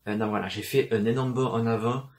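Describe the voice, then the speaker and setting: A man's voice: mid-range voice, steady tone, fast. A young man from the south of France with a southern French accent, talking to camera in a personal vlog, hesitant, with many fillers and restarts and an occasional stutter. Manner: stutters at times. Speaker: book vlogger. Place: room full of books, indoors.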